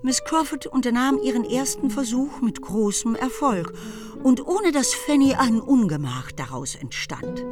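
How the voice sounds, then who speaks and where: A woman narrating a literary radio drama from a script. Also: high-pitched.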